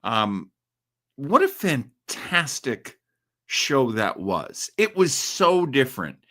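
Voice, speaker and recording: A man hosting a livestream on classic radio horror, chatting casually and reflectively with listeners, with frequent pauses as he thinks. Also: medium pitch, sing-song, pushed and bright. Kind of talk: casual live commentary and chat with listeners.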